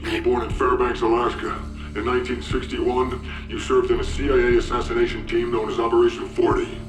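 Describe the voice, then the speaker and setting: An actor playing a stern, relentless interrogator in a video game cutscene, cold, demanding and insistent. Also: male voice, mid-range voice, level tone, brisk. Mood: cold, probing. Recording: echoey, under dramatic music.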